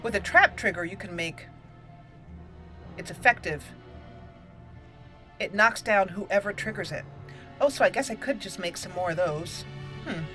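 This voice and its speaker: Female gameplay host, casual and rambling, thinking aloud, mid pitch, faint music underneath. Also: measured pace, pauses often.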